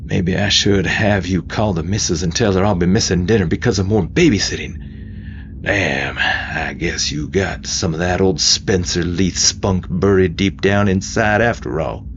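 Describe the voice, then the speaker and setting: A man voicing a gruff, bullying military drill sergeant who barks sardonic taunts in an audiobook. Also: low voice, measured pace, highs cut off, a little hiss or hum. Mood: wry, taunting, then barking orders. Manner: loud, barking, sardonic. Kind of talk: dramatised audiobook character voice.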